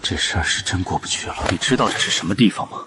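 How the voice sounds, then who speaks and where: A man's voice: low voice, expressive, fast talker, noisy background. A man acting a serious, guarded character in a Chinese romance audio drama, with a wary, dramatic delivery. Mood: stern, wary.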